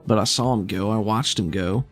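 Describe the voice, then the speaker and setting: A man voicing a gruff, streetwise invisible woman spy in a tabletop roleplay, talking in a wry, slangy drawl. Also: lowish voice, moderate pace, faint music behind, close to an everyday voice. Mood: weary. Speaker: game master.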